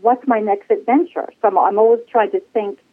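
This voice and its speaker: A woman's voice: medium pitch, steady tone, brisk. Chatty woman in her sixties on a phone line. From United States. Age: 60s. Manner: bubbly, laughs often. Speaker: guest. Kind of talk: casual interview. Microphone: phone call.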